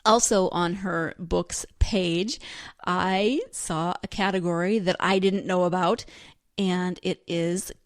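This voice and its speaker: A woman's voice: fairly low pitch, fairly even intonation, slow pace, no room sound, studio quality. A woman hosting a podcast, chatting enthusiastically about books in a giggly, rambling way full of asides. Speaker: podcast host. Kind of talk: chatty solo book review talk.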